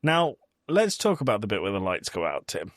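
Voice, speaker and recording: A man's voice: fairly low pitch, huge pitch swings, fairly quick, no room sound, clean studio sound. A man hosting a podcast in casual, emphatic, opinionated conversation. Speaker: podcast host. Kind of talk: casual chat reviewing a film.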